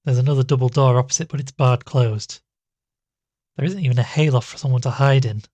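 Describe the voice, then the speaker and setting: An anxious amateur investigator in a horror audio drama played by a male actor, earnest and hesitant. His voice is husky and recorded dry and close, with a worried edge. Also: medium pitch, fairly even intonation, fairly quick, darkish tone, no echo, close to an everyday voice. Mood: wary. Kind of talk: audio drama dialogue and first-person narration.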